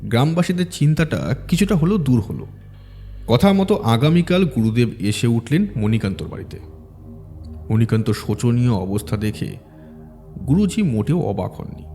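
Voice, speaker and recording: A man narrating a scripted ghost story in a measured, dramatic way with pauses. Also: mid-range voice, very animated, warm tone. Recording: long reverb, music underneath.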